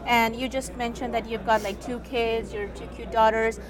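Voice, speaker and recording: A woman's voice: on the high side, flat delivery, normal speed. Hesitant interviewer woman, crowded hall. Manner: hesitant, many fillers, rambling questions. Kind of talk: conversational interview. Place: large indoor event hall with crowd. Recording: crowd chatter behind.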